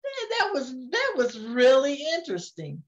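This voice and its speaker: An older woman reading to children and chatting gently about a picture book, often laughing softly. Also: high voice, huge pitch swings, normal speed. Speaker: storytime reader. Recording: dull lo-fi sound.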